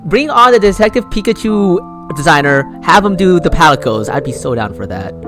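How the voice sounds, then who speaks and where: A man hosting a podcast in casual, excitable chat, repeating phrases for emphasis. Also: on the high side, fairly quick, music in the background. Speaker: podcast host. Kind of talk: casual, animated panel chat.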